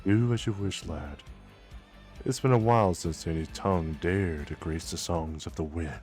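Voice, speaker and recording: A man playing a wary, world-weary apple merchant in a manga dub, with measured, old-fashioned phrasing. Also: deep voice, a little mellow, soft background music, lightly acted. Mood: guarded. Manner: measured, archaic storybook phrasing.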